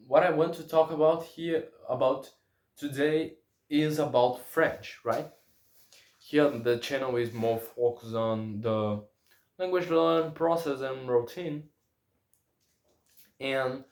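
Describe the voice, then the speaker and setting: Young guy, portuguese is his first language, talking english slow and halting, echoey room. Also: medium pitch. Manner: halting, searches for words in a second language. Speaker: language-learning vlogger. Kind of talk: unscripted personal monologue.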